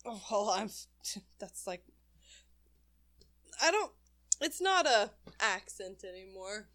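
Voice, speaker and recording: A woman's voice: mid-range voice, very animated, slow, a bit bright. A young adult woman co-hosting a casual chat, throwing in teasing, sarcastic quips.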